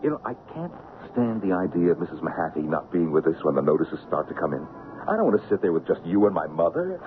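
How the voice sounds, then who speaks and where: Excitable, swooping Broadway producer, old radio. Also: male voice, deep voice, fast. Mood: wistful, coaxing. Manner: theatrical, emphatic, coaxing. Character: excitable Broadway producer, smooth-talking, persuasive. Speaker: actor. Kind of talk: old-time radio drama acting. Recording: noisy, narrow-band old radio recording.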